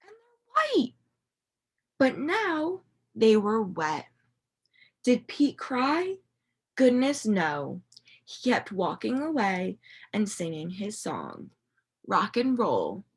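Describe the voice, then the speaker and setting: Female primary teacher doing an interactive storybook read-aloud; unhurried, slightly husky, expressive, with dramatic emphasis for young listeners. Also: medium pitch. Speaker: teacher reading a picture book aloud to young children. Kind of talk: interactive children's read-aloud, asking questions.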